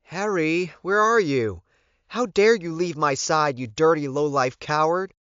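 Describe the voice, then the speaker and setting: Imperious she-wolf tyrant played by a male reader, high and loud, scolding, audiobook, slightly dull recording. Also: a little slow, no echo. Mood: furious. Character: furious haughty female werewolf Luna, insulting tyrant. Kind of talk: audiobook character dialogue.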